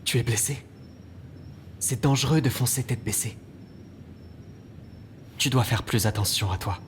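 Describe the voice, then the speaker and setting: A man playing a calm, protective and mysterious figure in a French video game dub, composed and courteous. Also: mid-range voice, unhurried, natural voice. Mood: calm concern. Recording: noisy ambience behind the voice.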